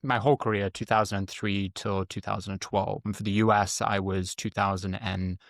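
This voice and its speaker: Low-voiced man explaining at a halting pace. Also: flat delivery, dry recording, clean studio sound. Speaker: guest, former Army Ranger medic. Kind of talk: conversational interview answers.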